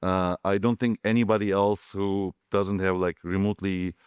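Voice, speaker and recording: A man from Turkey answering on a conference panel, halting and full of fillers and restarts. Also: sits low, level tone, smooth, dry recording.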